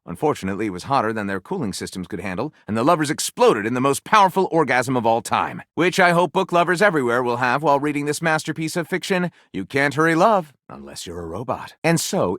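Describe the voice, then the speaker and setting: A man playing an excitable, sardonic, self-pleased pulp author in a comedic video game, with a dramatic, wry delivery and flippant asides. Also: fairly high pitch, a little fast, hardly any pauses, no room sound, studio quality. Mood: sardonic, grandiose. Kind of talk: theatrical comedic game dialogue, mock-literary narrating.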